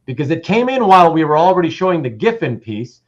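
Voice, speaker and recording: A man's voice: fairly high pitch, very animated, quick pace, dull audio. A man hosting a casual livestream about comic art, reading out chat comments and rambling with repeated words and self-interruptions.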